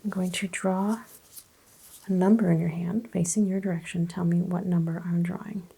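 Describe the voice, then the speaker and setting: A woman role-playing a medical examiner in soft-spoken ASMR, murmuring gentle instructions and often slipping into whispers. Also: lowish voice, fairly even intonation, moderate pace, gravelly, husky.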